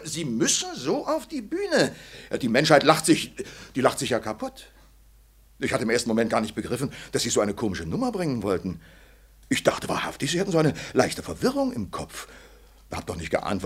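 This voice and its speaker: An actor playing a jovial, condescending older colonel in a vintage radio play, pompous and given to repeating himself, with a husky voice. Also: male voice, medium pitch. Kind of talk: 1940s radio drama acting. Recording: 1940s radio recording.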